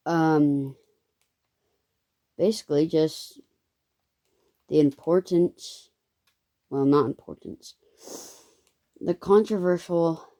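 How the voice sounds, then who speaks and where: A young vlogger telling a rambling story in a casual way, full of ums and self-corrections. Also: male voice, a little high, mellow. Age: child.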